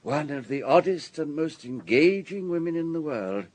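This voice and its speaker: A man's voice: fairly high pitch, animated, normal speed, darkish tone. An actor playing a wealthy, urbane society man in a radio drama, breezy, courteous and talkative. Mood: chatty.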